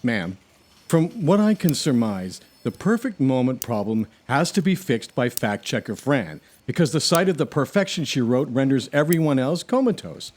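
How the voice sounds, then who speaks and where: Man as an earnest, animated space hero. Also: medium pitch, normal speed. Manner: polite, earnest, explanatory. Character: earnest, mild-mannered male space hero who knits. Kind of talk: comic sci-fi audio drama acting.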